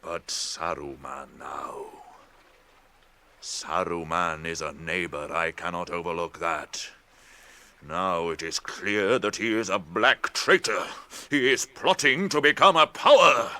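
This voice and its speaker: An actor playing an ancient, kindly tree-giant in a British radio drama, ponderous and musing, with old-fashioned phrasing and a temper that can be stirred. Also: male voice, low voice, slow pace, pushed and bright, heavily acted. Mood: bitter, wrathful.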